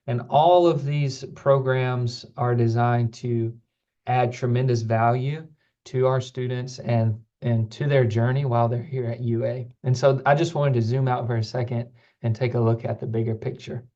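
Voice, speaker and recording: A man's voice: medium pitch, fairly even intonation, moderate pace, warm tone. A man giving an informational presentation as a student program staffer, earnest and explanatory, repeating words for emphasis. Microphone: online call microphone. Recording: slightly dull, lo-fi call recording.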